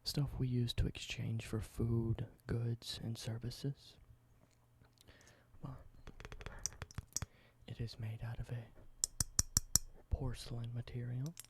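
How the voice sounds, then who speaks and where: A young man doing a soft, halting ASMR whisper, slowly explaining everyday objects in simple words. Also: medium pitch, flat delivery, background noise. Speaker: ASMR creator.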